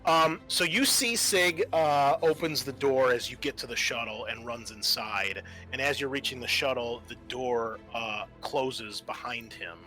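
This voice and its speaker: Male game master, bright mid-pitched voice, off-the-cuff horror narration with hesitations, light music underneath. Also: poor audio. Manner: halting, casual, profane.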